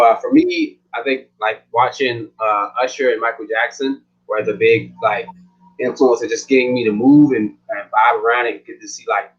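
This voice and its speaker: A man's voice: medium pitch, a bit bright. A man talks casually about dancing, filling his sentences with "uh" and "you know". His audio sounds a little muffled, as from a modest call mic. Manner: casual, many fillers. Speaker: dancer and panelist. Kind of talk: panel conversation.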